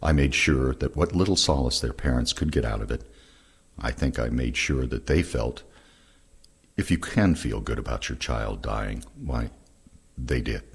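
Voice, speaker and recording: Male narrator, very raspy and deep, reading memoir passages. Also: expressive, measured pace. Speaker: reader of veterans' recollections. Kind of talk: reading oral history aloud, scripted narration.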